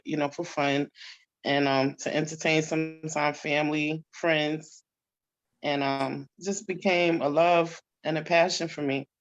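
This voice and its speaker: A woman from New York, an actress, answering interview questions casually. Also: sits low. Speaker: stage actress being interviewed. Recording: dull, lo-fi audio.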